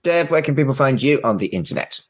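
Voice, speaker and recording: A man's voice: mid-range voice, animated, fast. A man hosting a podcast in a casual, conversational style. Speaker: podcast host.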